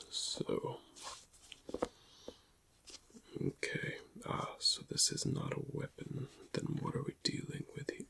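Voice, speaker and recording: A man's voice: mid-range voice, full character voice. Guy doing a shady alien relic dealer for asmr roleplay, half whispering, really crackly, musing over the goods. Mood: curious, appraising. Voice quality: whispery, gravelly. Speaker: ASMR performer.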